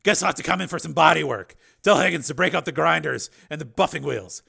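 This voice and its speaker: Guy doing a gritty robot cop for an audiobook, bitter n sarcastic, creaky forceful voice, blows up easy. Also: fairly high pitch, fast talker, pitched above the performer's own voice, exaggerated voice. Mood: harsh sarcasm. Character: bitter, wounded cyborg policeman, gruff and explosive. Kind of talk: dramatic audiobook character acting.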